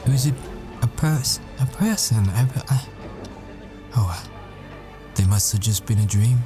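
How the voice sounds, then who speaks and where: Casting: male actor as troubled professor-assassin boyfriend, slow and stammering, dramatic audio roleplay, faint music underneath. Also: medium pitch, expressive, natural voice. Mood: confused, troubled. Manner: halting, stammering, repeats himself. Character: desperate boyfriend, dazed math professor and assassin.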